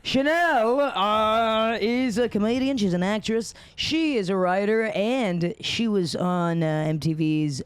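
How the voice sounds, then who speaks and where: A woman's voice: mid-range voice, slow pace, hardly any pauses, smooth, no room sound. Hungover woman hosting a chatty podcast. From United States. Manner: jokey, blunt, swears freely. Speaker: podcast host. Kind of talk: casual chat.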